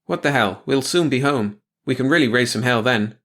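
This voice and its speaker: A man's voice: mid-range voice, moderate pace, fairly bright tone, lightly acted. A man voicing a brash male crewmate in an audiobook story, needling and cunning, with slangy talk.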